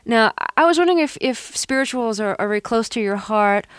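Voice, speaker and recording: A woman's voice: fairly high pitch, animated, fairly quick, clean tone, no room sound, clean studio sound. A woman interviewing a guest, asking curious, friendly questions in a conversational way.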